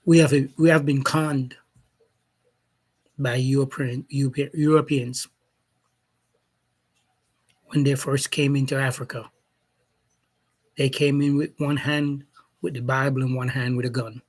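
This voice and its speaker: A man from Jamaica delivers a spoken opinion piece at a very unhurried pace. His voice is husky and a little rough. He builds his case deliberately and pushes pointed questions at the people he criticizes. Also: mid-range voice, level tone. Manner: slow, deliberate, challenging questions. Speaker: commentator. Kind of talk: opinion monologue.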